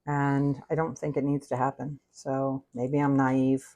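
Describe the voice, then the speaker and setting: A woman's voice: very deep voice, level tone, unhurried, dark tone, slight background noise. Candid American woman in her sixties. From United States. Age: 60s. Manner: candid, meandering, self-interrupting. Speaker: cooking livestream host. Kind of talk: casual rambling livestream chat while cooking.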